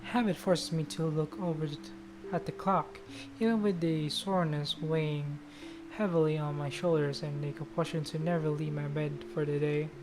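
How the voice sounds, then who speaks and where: A woman's voice: sits low, fairly even intonation, moderate pace. A woman game streamer reading a visual novel aloud in a casual, sweary manner, with guitar music behind her. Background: loud guitar music.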